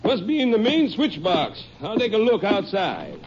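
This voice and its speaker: A folksy old storekeeper. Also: male voice, high-pitched, wildly swooping pitch, moderate pace. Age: older. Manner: folksy, plainspoken. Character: older male small-town storekeeper, stubborn, folksy. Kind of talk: 1950s radio drama acting. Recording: dull old radio recording, faint hiss.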